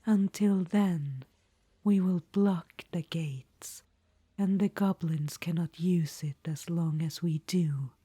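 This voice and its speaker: A woman's voice: on the low side, slow pace, warm tone, dry recording. A woman voicing an ancient, vain undead witch-queen in an audio roleplay, whispering and calling the listener darling. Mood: languid, conspiratorial. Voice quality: breathy whisper.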